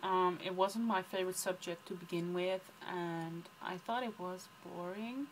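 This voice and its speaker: A woman's voice: fairly low pitch, fairly even intonation, measured pace, slight background noise, rough recording. A young woman from the Netherlands with a Dutch accent, chatting casually through a book vlog tag with lots of ums and rambling asides. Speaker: book vlogger. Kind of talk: casual chat answering tag questions.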